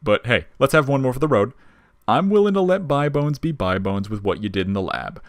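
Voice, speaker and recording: A man voicing a wry, laid-back, quietly protective skeleton in an audio story, with casual slangy talk. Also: medium pitch, big pitch swings, fairly quick, dry recording, studio quality, voice pushed up. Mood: quietly threatening. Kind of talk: dramatic audio fiction character reading.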